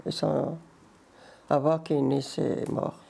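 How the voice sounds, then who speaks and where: Older North African woman, very creaky low voice. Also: flat delivery, dark tone. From Morocco and Algeria. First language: Berber. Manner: halting, broken French with Arabic mixed in. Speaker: interviewee, grandmother. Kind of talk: casual life-story interview.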